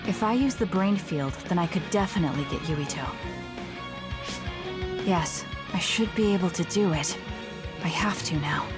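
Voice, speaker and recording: A woman's voice: fairly low pitch, moderate pace, pauses often, music in the background, close to an everyday voice. A woman playing a determined, anguished young psychic soldier in a dramatic video game, speaking with grave, introspective resolve. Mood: grim resolve.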